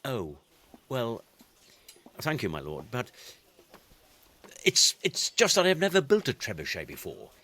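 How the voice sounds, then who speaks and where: A nervous medieval engineer speaks very slowly and haltingly, his pitch swinging widely. He stammers with anxious deference, the voice husky at the edges, in video game style. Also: wildly swooping pitch, pushed and bright, exaggerated voice. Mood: nervous, reluctant. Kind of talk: dramatic video game acting.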